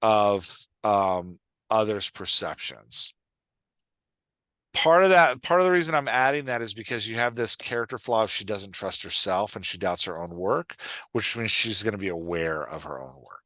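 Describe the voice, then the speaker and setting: A man's voice: on the low side, expressive, normal speed, bright, forceful tone, no echo. A man hosting a podcast as a writing coach, explaining conversationally, thinking aloud and often checking that he is understood.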